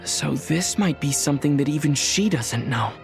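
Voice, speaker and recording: A calm, thoughtful young traveler. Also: male voice, a little high, gravelly, faint music behind. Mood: reflective. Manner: quiet, measured and reflective. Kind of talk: video game cutscene dialogue.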